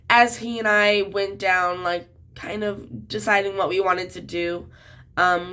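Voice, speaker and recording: A young woman in her twenties talks casually to her viewers in a lowish voice. She is a bit sick, so her voice sounds slightly off. She rambles with plenty of "um" and "like" between thoughts. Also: a bit bright. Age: 20s. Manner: chatty, many "um" and "like" fillers. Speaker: children's book author. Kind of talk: casual talk to camera.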